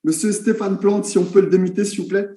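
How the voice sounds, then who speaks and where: A man hosts a video call and introduces a guest, repeating words as he searches for them. His voice is high yet mellow, and it echoes in the room. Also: fairly even intonation, fast talker. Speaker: host of the call.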